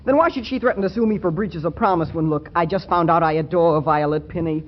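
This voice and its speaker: Man playing a high-voiced cocky schoolboy. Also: fast talker, close to an everyday voice. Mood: indignant. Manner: cocky, excitable, slangy. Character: brash, girl-crazy prep school rich boy. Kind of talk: 1940s radio comedy acting. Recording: dull, hissy 1940s radio recording.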